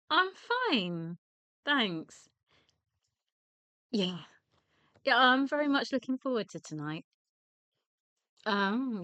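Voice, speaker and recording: An actress in a radio play as a strong-willed grieving widow, speaking in an emotional, direct way. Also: female voice, medium pitch, bright, forceful tone, dry recording. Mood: warm, pleased.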